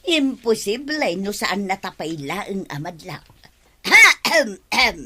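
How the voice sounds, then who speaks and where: A woman playing a cheeky, debt-dodging village gossip with exaggerated, drawn-out delivery in a comic radio drama. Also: mid-range voice, sing-song, sharp tone, slight background noise, full character voice. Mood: nosy, sly.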